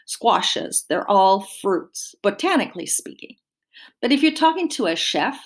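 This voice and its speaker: A woman reading a children's book aloud expressively, with simple explanatory asides as if to young children. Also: mid-range voice.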